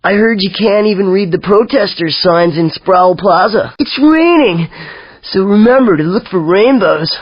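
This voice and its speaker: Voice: mid-range voice, expressive, normal speed, dry recording, lo-fi sound, lightly acted. An actor voicing a laid-back young hippie surfer dude announcer in a racing video game, drawling in easygoing slang. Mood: excited, amused. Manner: drawling, mellow slang, easily amused.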